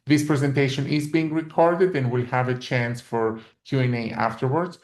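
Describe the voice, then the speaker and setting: A man's voice: medium pitch, fairly even intonation, normal speed, roomy sound. A man hosting a webinar, reading a formal introduction of the speaker and giving the audience housekeeping notes. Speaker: webinar host.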